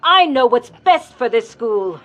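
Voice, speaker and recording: A woman's voice: fairly high pitch, big pitch swings, measured pace, a bit bright, lo-fi sound. An actress playing an imperious widow in her seventies in a radio drama, speaking in a commanding, indignant way. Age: 70s. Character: imperious elderly widow, university trustee.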